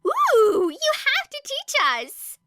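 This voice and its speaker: An excitable teenage schoolgirl as a woman reader plays her, pitched very high and loud with swooping energy. She sounds bubbly and eagerly curious, in a close, dry audiobook read. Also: takes it slowly, no room sound, exaggerated voice. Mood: excited.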